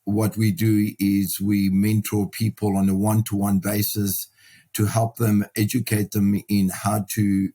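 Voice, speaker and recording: A middle-aged man gives conversational interview answers in a low, mellow voice. His delivery is quite flat in melody and measured, with some halting and repeated words as he thinks. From Sudan and South Africa. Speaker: podcast guest, property investor and mentor.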